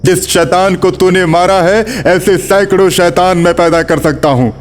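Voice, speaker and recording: A man playing a wrathful, boastful sorcerer who taunts his foe in an animated horror story. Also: high-pitched, measured pace, soft background music. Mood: furious, taunting. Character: wrathful, mocking male sorcerer threatening a priest.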